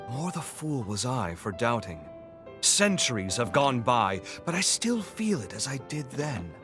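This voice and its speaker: An actor playing a flamboyant, centuries-old nobleman in a video game, with a theatrical, courtly and pompous delivery. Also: mid-range voice. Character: flamboyant, noble, centuries-old immortal man, grandiose and devoted. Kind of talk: video game character acting.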